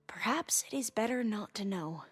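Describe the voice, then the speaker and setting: Nervous, studious young woman scholar for a game cutscene; halting, earnest, formal wording, music underneath. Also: mid-range voice, animated, slightly rough. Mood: pensive. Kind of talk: video game cutscene dialogue.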